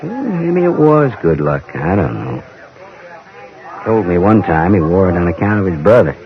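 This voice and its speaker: A man playing a brooding, weary American ex-raider cowboy in a radio western, halting and rambling in a folksy drawl. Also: low-pitched, sing-song, crowd noise. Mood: wistful reminiscing. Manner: halting, rambling, plain-spoken. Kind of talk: old-time radio western acting. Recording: dull vintage radio recording.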